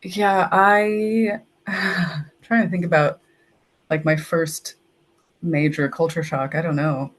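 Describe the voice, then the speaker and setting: A woman's voice: lowish voice, fairly even intonation, slow. Chatty young woman from Texas. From Texas, United States. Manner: chatty, uses "like" often, storytelling. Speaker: guest, English language assistant. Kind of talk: casual interview.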